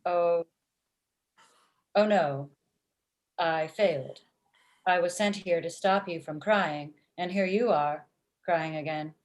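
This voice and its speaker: Woman playing a deadpan female robot matchmaker. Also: lowish voice. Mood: dismayed, then joking. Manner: formal, deadpan, measured phrasing. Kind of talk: comedy script table read. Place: indoor room at home. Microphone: video call.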